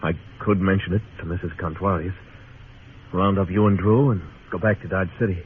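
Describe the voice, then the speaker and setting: An actor playing a tough, laconic frontier marshal in a radio western, speaking and narrating in a terse, deadpan way. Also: male voice, low voice, a little fast, a little hiss or hum, close to an everyday voice. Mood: dry, wry. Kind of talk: old-time radio western acting and narration. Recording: tinny old radio recording with music.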